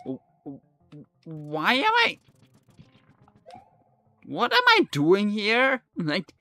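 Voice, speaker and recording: A man's voice: high voice, huge pitch swings, very slow, sharp tone, no room sound, soft background music. A young man whose first language is German, speaking English with a German accent while he streams and chats casually through a game, rambling with many fillers and restarts. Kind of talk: live gameplay commentary.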